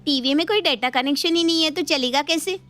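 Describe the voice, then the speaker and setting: Young daughter, playful, outspoken, highish pitch, teasing, cartoon family drama. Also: female voice, fairly quick, fairly bright tone. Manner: chatty, teasing. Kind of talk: Hindi animated moral story acting.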